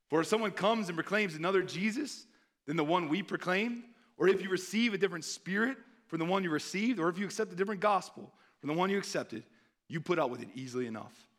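A hoarse man preaching a sermon in an earnest tone, pausing to read scripture aloud. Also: a little high, fairly bright tone. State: hoarse, clearing throat.

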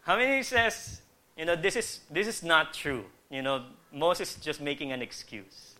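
Man, Filipino accent, preacher, higher-pitched bright voice, conversational teaching, acted-out quotes. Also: big pitch swings, moderate pace. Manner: conversational, acts out quoted dialogue. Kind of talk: sermon, teaching with Bible readings and questions to the congregation.

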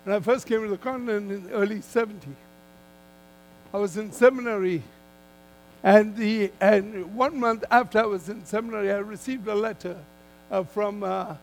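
High-pitched older man preaching. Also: fairly even intonation, creaky, slight background noise, rough recording. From Malaysia. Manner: emphatic, deliberate phrasing with repetition for emphasis. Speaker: guest preacher. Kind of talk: sermon.

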